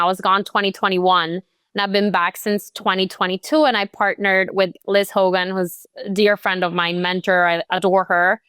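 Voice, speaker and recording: A woman's voice: medium pitch, fairly bright tone, smooth, no echo. A young woman from Colombia, a real estate agent, chatting casually as a guest in an interview, full of "like" and "you know" fillers.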